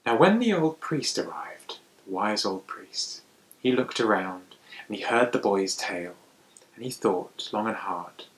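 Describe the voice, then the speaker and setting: A man telling folktales to children in an animated, theatrical way, with dramatic pauses and hushed asides. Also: fairly low pitch, rough recording. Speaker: storyteller.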